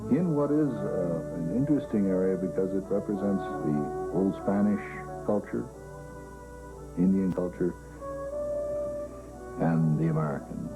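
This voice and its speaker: A man's voice: low voice, lively intonation, unhurried, pauses often, poor audio. A man earnestly explaining his college's plans in a documentary interview. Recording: documentary with loud music underneath.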